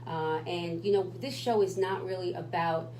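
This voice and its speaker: A woman's voice: lowish voice, steady tone, normal speed, darkish tone, echoey room, slight background noise. A woman co-hosting a radio talk show, speaking in an emphatic, motivational way. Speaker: radio co-host.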